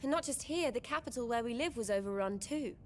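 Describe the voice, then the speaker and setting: A woman's voice: on the high side, lively intonation, quick pace, a little hiss or hum, natural voice. A woman playing a spirited young heroine who speaks plainly and casually. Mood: plucky. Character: spirited young woman hero, plucky and direct. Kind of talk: game cutscene acting.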